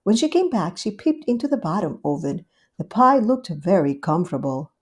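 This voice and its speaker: A woman reading a children's storybook aloud in a gentle, expressive way. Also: lowish voice, normal speed, breathy edge. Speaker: storybook reader. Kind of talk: scripted narration of a children's story.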